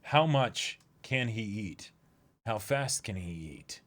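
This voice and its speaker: A man's voice: on the low side, takes it slowly, fairly bright tone. A man co-hosting a manga reading stream, chatting casually as he comments. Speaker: co-host of a livestreamed manga dramatic reading.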